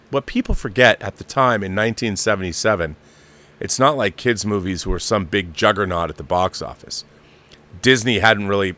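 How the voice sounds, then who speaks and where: A man's voice: lowish voice, a bit bright. A middle-aged or older man hosting a live show, giving opinionated, casual film commentary and repeating phrases for emphasis.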